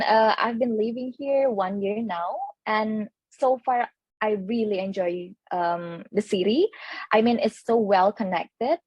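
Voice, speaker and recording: A woman's voice: on the high side, darkish tone, husky, no echo, dull audio. A young woman from Indonesia, an international student, answering enthusiastically in a webinar, with phrasing. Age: young adult. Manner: enthusiastic, non-native phrasing. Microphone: video call.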